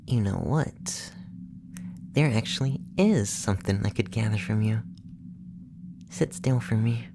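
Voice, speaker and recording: A performer voicing a clinical, teasing alien examiner in an ASMR roleplay, speaking softly and often slipping into a whisper. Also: medium pitch, noisy background, lightly acted. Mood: clinical, indulgent. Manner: soft-spoken, often whispery, measured, clinical. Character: alien examiner abducting a human, clinical, teasing, reluctantly indulgent. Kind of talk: ASMR roleplay, soft close talk drifting into whispers.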